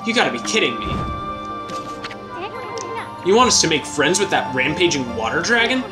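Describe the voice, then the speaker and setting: A man's voice: a little high, sing-song, normal speed. A man voicing a brash, cocky tiny male wandering artist who talks rudely and boasts. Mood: sarcastic. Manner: rude, wisecracking, boastful. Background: game music.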